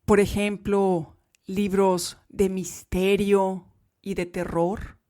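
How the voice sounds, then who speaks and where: A woman teaching Spanish to learners in a podcast lesson, speaking clearly and emphatically. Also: medium pitch, flat delivery, slow, dark tone, shouting.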